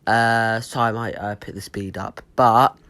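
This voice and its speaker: A teenage boy with a cold, sounding croaky, rambling casually with lots of ums as he talks over his own building gameplay. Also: fairly low pitch, fairly even intonation, a little slow, sharp tone. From United Kingdom. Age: teens. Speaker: gaming YouTuber.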